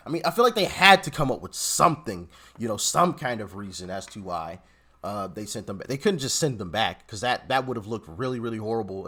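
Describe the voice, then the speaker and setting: Animated man reacting to clips. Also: mid-range voice. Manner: animated, exclaiming, trails off and restarts. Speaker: reaction video host. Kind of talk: casual reaction commentary.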